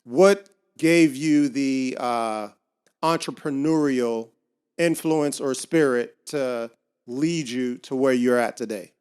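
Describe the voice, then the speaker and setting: A man's voice: mid-range voice, takes it slowly, darkish tone, clear, resonant tone. A man hosting a casual podcast interview over a video call, talking with lots of ums and restarts. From Miami. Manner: hesitant, many fillers and restarts. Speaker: podcast host. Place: home garage.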